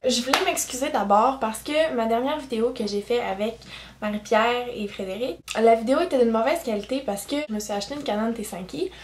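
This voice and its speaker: An 18-year-old woman with a strong Québécois French accent talks candidly to her camera, loose and full of fillers. Her voice sits fairly high. The sound is roomy and a bit distant, like a camera set back in a room at home. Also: a little fast, a little hiss or hum. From Quebec. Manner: chatty, candid, lots of fillers. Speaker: YouTuber. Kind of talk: casual vlog chat.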